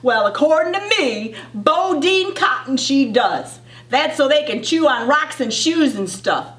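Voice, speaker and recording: Woman putting on a sly, unhurried farm boy. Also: high voice, animated, a bit bright, recorded from a distance. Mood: smug. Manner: folksy country talk, matter-of-fact. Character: ornery young farm boy, calm, sly know-it-all brother.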